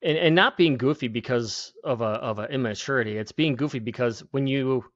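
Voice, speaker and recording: A man in his forties in a casual podcast chat who talks haltingly and fills gaps with "like". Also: mid-range voice, no echo. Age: 40s. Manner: halting, full of "like" fillers. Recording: dull lo-fi dry sound.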